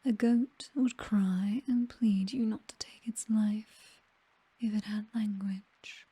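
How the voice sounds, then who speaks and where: A woman with a British accent playing a possessive, seductive vampire mistress in a whispered, breathy ASMR roleplay, doting yet condescending and coolly menacing. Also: medium pitch, steady tone, slow pace, warm tone, no echo. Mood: cold, matter-of-fact.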